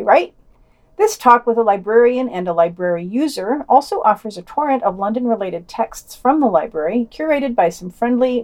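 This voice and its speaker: A woman's voice: a little high, a little mellow. A woman reading a scripted podcast news roundup, dropping in casual, playful and wry asides.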